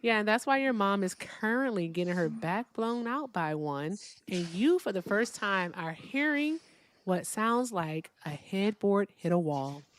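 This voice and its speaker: A woman's voice: mid-range voice, animated, measured pace. A woman hosting a live-stream debate, mocking callers in brash, casual trash talk and sounding tired.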